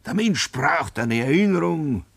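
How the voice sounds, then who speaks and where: A man's voice: fairly high pitch, sing-song, unhurried, vocal fry, dry recording, heavily acted. An actor playing a jovial, beer-loving man with a Bavarian accent in a 1960s radio play, folksy and cajoling. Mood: coaxing, jovial.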